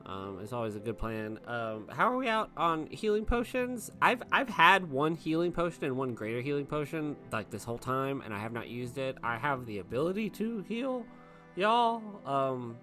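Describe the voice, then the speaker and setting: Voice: mid-range voice, very animated, moderate pace, bright, forceful tone, faint music behind. A player chatting casually and bantering during a tabletop roleplaying game.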